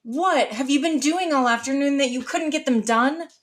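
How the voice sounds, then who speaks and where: Woman as audio drama mother: exasperated, weary, sarcastic, fairly high-pitched. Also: normal speed, natural voice.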